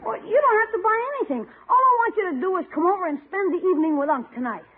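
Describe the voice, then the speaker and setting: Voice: very high voice, big pitch swings, fast, narrow, tinny audio. A performer playing a scheming, whiny young schoolboy who pleads and exclaims in 1940s radio comedy style, on an old broadcast. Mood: coaxing.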